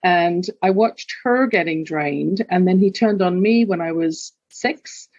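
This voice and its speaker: A woman's voice: on the low side, a little slow, a little mellow, no room sound, dull audio. An older woman with a London accent, a podcast guest telling her life story in an earnest, reflective way. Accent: London English. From England. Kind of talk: candid personal storytelling.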